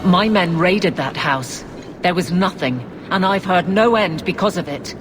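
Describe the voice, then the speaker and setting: A woman's voice: lowish voice, unhurried, over music, close to an everyday voice. A woman voicing a stern, dutiful guard captain in a fantasy video game. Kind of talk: scripted video game acting. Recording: loud background noise.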